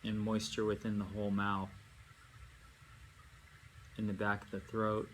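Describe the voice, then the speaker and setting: A man leading a guided meditation as a yoga nidra teacher, speaking in unhurried phrases with long pauses and gentle instruction. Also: fairly low pitch, completely flat delivery, poor audio. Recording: amateur recording with faint background noise.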